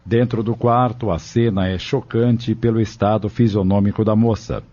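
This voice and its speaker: A man's voice: lowish voice, steady tone, moderate pace, warm tone, lo-fi sound. A man reading scripted narration for an audio drama.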